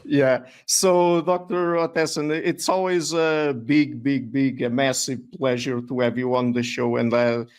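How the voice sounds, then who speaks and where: A man's voice: mid-range voice, steady tone, a little slow, hardly any pauses, darkish tone, clean tone. A man hosting an interview podcast, speaking casually with fillers and a friendly sign-off. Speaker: podcast host.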